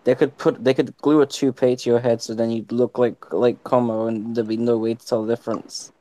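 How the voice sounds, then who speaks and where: A man's voice: mid-range voice, brisk, no room sound, low-quality recording. Dry, teasing man in voice chat. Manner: teasing, deadpan ribbing. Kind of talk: casual group chat.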